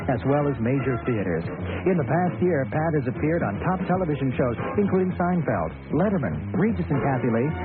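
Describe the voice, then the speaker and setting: An American man reading scripted radio commercials as an announcer. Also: a little high. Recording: thin narrow-band radio, music behind. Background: music.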